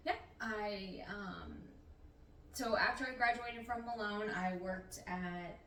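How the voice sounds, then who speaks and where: A woman's voice: mid-range voice, steady tone, slow, bright, forceful tone. A middle-aged woman, a nurse practitioner, answering interview questions in an easygoing, talkative, slightly meandering way. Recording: roomy, distant mic, faint noise.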